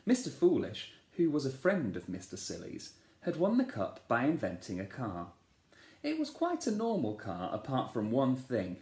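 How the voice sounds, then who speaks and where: A man, a teacher, reading a children's storybook aloud in a playful, expressive way, asking the listeners questions. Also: mid-range voice, normal speed. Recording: echoey room.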